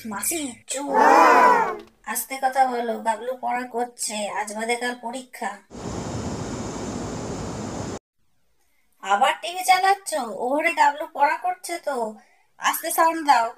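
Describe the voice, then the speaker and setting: A woman's voice: high-pitched, normal speed, close to an everyday voice. A woman playing a strict, fussing mother in an amateur cartoon, bossy and scolding. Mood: fussy hushing. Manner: scolding, bossy, hushing others. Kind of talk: amateur cartoon comedy voice acting. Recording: heavy background noise, clicks.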